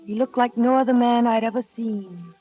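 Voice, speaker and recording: An actress in a 1940s radio drama playing a shy nineteen-year-old companion, soft-spoken and wistful, narrating her memories. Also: fairly high pitch, moderate pace, close to an everyday voice. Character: shy young woman of nineteen, paid companion. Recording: dull old radio recording with music.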